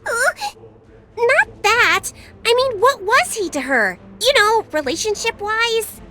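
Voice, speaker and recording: Very high-pitched, teasing little fairy. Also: female voice, very animated, takes it slowly, sharp tone, faint music behind, heavily acted. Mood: nosy, exasperated. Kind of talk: video game voice acting.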